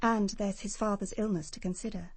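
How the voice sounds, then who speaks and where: An English actress playing a prim, self-assured nurse giving precise testimony in a British radio crime drama. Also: female voice, mid-range voice, fairly even intonation, fairly quick, breathy edge, dry recording. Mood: composed, defensive.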